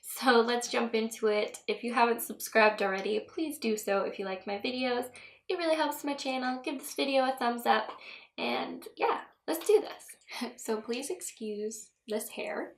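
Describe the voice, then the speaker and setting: A woman's voice: on the high side, moderate pace, vocal fry. A young woman vlogging a makeup tutorial in a chatty, rambling way, laughing often, her voice a bit stuffy from a cold. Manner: chatty, giggly, rambling asides. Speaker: beauty vlogger. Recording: echoey room.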